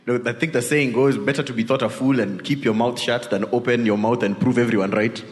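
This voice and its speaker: A man's voice: medium pitch, fairly even intonation, quick pace. A man with a Kenyan accent preaching a conversational sermon, telling personal stories in an informal way. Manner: informal, peppered with tag questions and Swahili asides. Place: large reverberant indoor hall. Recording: echoey hall with long reverb.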